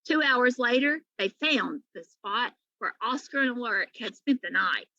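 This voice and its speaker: A woman reading a children's story aloud in an animated, emphatic way, like a teacher to pupils. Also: on the high side, normal speed, dry recording, lo-fi sound.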